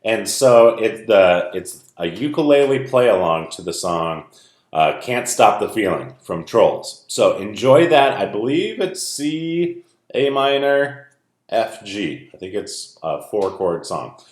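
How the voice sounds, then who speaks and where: A man's voice: lowish voice, big pitch swings, takes it slowly. A man speaking as a music teacher, informally explaining things to young students in a video lesson and read-aloud. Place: indoor room at home. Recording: roomy indoor echo.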